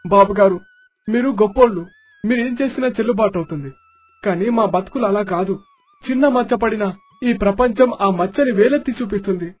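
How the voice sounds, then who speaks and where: Guy doing a worried humble village dad, pleading, high husky voice, cartoon story. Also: a little fast. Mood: distressed, pleading. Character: humble, anxious village father, pleading and lamenting. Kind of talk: cartoon moral story voice acting. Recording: dialogue over background music.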